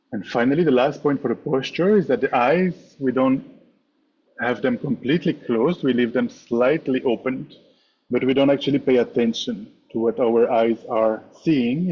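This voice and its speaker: A man leading a guided meditation as a teacher, speaking in measured, instructive short phrases with pauses. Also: medium pitch, lo-fi sound, slight background noise. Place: online meditation session over video call.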